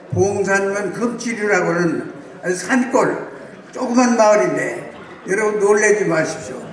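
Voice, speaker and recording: A man's voice: high-pitched, a bit bright. A man in his nineties from South Chungcheong giving a formal congratulatory speech and lecturing with rhetorical questions. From South Chungcheong, Korea. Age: 90s. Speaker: guest speaker. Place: large banquet hall. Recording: echoey hall, distant mic.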